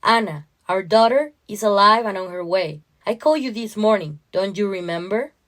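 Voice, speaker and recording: Woman acting anxious sleepless mother, medium pitch, insistent, defensive, emotional, audio story dialogue. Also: moderate pace, noisy background, close to an everyday voice. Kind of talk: acted dialogue in a short story.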